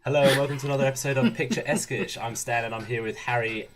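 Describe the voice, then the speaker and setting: A man's voice: medium pitch, fairly even intonation, fast, a loud crowd. A man hosting a casual, improvised podcast chat over a video call, a little hesitant and given to repeating himself. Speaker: podcast host.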